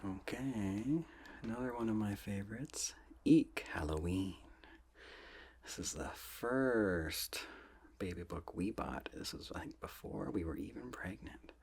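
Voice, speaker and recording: A soft-spoken man reading children's books aloud in a gentle, playful ASMR style, often dropping close to a whisper. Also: fairly low pitch, expressive, slightly rough. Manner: gentle, drawn-out, playful storybook delivery.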